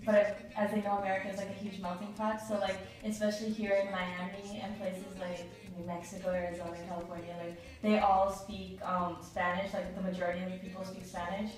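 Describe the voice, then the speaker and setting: A woman's voice: medium pitch, level tone, a little fast, few pauses. A woman answering interview questions in a chatty, casual way, laughing often and saying "like" a lot. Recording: echoey room from far off, faint music.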